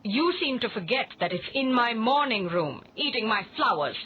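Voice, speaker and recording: Shrill indignant lady, as a man puts her on. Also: expressive, moderate pace, breathy edge, heavily acted. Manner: scolding, shrieking falsetto. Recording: dull lo-fi recording.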